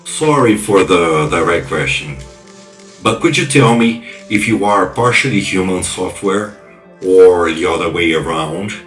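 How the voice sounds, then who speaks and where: A man playing a polite, probing cyberpunk investigator in audio drama dialogue, with measured questions. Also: low voice, expressive, a bit bright, far from the mic, faint music behind, heavily acted.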